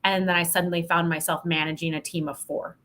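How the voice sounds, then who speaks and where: A woman's voice: on the low side, little pitch movement, fast, a bit bright. An American woman chatting as an interview guest, candid and playful, with emphatic phrasing. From Montana, United States. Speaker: podcast guest, sales professional. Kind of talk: casual interview chat.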